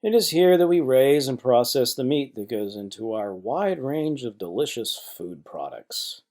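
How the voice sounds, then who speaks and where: A man voicing a proud corporate tour guide in an audio play, speaking in a rehearsed, formal sales pitch. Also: expressive, unhurried, darkish tone. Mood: proud, salesy. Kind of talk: scripted audio play acting.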